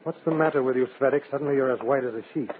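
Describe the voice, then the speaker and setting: Actor as brisk, needling, suspicious male comrade. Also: mid-range voice, noisy background, natural voice. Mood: suspicious, probing. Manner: curt, needling. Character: suspicious, irritable male communist party comrade, probing and officious. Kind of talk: 1950s radio drama acting. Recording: thin, tinny old radio recording.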